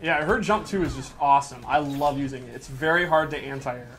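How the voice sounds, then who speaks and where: A man in casual gaming chat, excitable and playful as he comments on his own match while playing. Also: medium pitch, fairly quick, a little hiss or hum.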